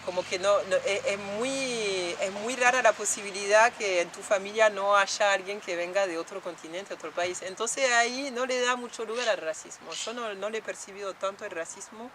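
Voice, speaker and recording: A woman's voice: medium pitch, normal speed, few pauses, noisy background. A woman from France in an interview, speaking reflectively with many fillers and restarts. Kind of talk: documentary interview.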